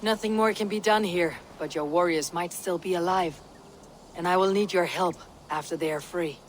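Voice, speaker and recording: A woman's voice: lowish voice, normal speed, slight background noise, natural voice. A woman playing a stern, blunt Viking warrior in a video game, questioning in a terse, commanding way. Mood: solemn, resolute. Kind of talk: video game cutscene acting.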